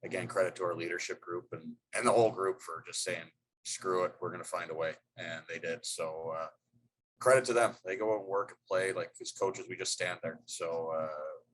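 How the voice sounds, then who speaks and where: A man's voice: sits low, fairly even intonation, moderate pace, a bit bright, low-quality recording. A middle-aged man, a hockey head coach, answering press questions in a loose, rambling way with many fillers and restarts.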